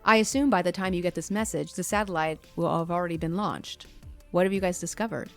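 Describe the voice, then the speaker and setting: A confident female executive in her fifties, played by an actress, records a chatty log for a faraway pen pal. Her voice sits slightly low, with a wry, teasing edge, over music in an audio drama. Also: fast, close to an everyday voice. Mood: content, curious. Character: wry, confident 57-year-old female space company executive. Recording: music under the voice.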